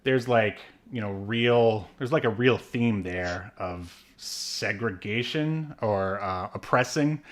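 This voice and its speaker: A man's voice: on the low side, slow pace, few pauses. A man hosting a podcast, chatting casually and rambling with frequent fillers. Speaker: podcast host. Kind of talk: casual chat.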